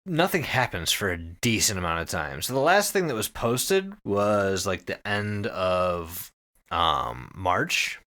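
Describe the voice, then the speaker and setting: Animated, bright-voiced man recounting a story. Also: sits low, takes it slowly. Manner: profane, animated retelling. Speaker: podcast host. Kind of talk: casual storytelling recap with co-hosts.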